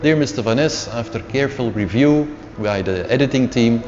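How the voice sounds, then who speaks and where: A middle-aged man whose first language is Dutch, telling his story in a casual interview, unhurried and repeating words as he searches for them. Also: mid-range voice, a little mellow. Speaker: interview guest, author. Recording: dull lo-fi sound with long reverb and faint music.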